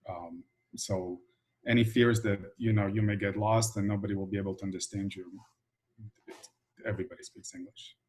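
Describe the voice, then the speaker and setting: A middle-aged man from Croatia, chatting in a relaxed, filler-heavy way as a guest at an info session. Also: fairly low pitch, normal speed. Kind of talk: casual informative talk.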